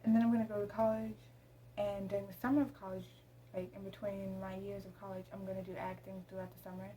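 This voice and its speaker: A woman's voice: medium pitch, little pitch movement, fast talker, dark tone, a little hiss or hum, low-quality recording. A teenage girl vlogging at home, chatting casually to her camera, rambling with lots of ums. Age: teens. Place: room at home.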